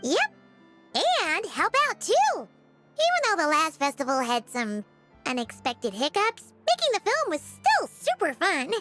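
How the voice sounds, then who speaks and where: A woman voicing a small childlike fairy companion in a video game, chirpy, exclamatory and inquisitive, with a squeaky voice. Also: huge pitch swings, unhurried, bright, forceful tone, faint music behind, exaggerated voice. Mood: cheerful, eager.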